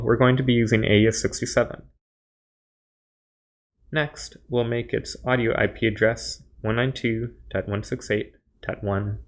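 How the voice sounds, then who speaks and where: Male tutorial presenter, medium pitch, scripted instructional read, measured step-by-step delivery.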